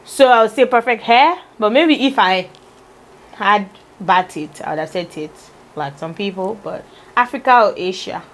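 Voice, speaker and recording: A woman's voice: medium pitch, lively intonation, a bit bright, slight background noise. A woman vlogger reading out tag questions in a casual, teasing chat with her husband. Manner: playful, teasing, drawn-out musing.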